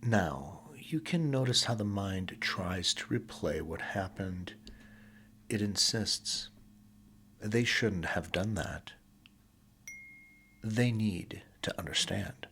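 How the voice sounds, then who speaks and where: Low, slow, husky male hypnotist. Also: a bit bright. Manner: slow, deliberate, soothing, with short pauses between phrases. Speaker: hypnotist, guided session narrator. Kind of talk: sleep hypnosis, slow guided meditation narration.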